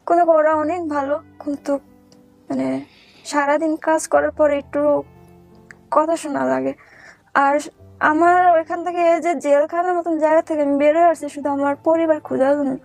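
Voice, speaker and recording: High-voiced Bangladeshi woman telling her story. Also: fairly even intonation, moderate pace, gravelly, pauses often, a little mellow, soft background music. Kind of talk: personal storytelling.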